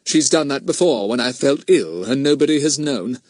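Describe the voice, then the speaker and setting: A man's voice: mid-range voice, lively intonation, moderate pace, a little mellow, no room sound, voice pushed up, lightly acted. A man voicing a cornered male culprit, resentful and confessing, in a storybook reading. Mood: resigned confession.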